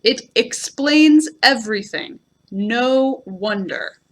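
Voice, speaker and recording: Storybook donkey female reader: sad, resigned, drawn-out slow lines, high-set voice. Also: lively intonation, slight background noise. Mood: gloomy, bitter. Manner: slow, mournful, drawn-out delivery. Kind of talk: children's storybook read aloud.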